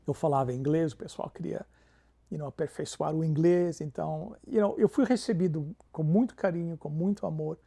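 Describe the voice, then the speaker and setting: Gravelly pastor, warm tone. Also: male voice, on the high side, normal speed. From Canada. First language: English. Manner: peppers Portuguese with English fillers. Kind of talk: interview storytelling.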